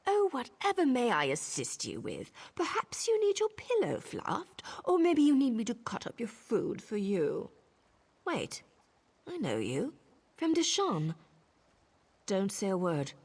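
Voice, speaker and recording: A woman playing a sardonic assassin disguised as a servant in a video game, with a sarcastic, conspiratorial manner and a husky, breathy voice. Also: fairly high pitch, huge pitch swings, measured pace, a little mellow, full character voice. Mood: mock servile. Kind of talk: video game character acting.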